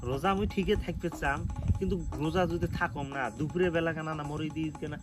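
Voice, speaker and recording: Man playing sheepish young excuse-maker, Rangpuri accent, fairly high voice, whiny pleading, music behind. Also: fast talker, lightly acted. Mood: pleading, excusing. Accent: northern Bangladesh (Rangpuri). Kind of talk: comedy skit acting in rural dialect.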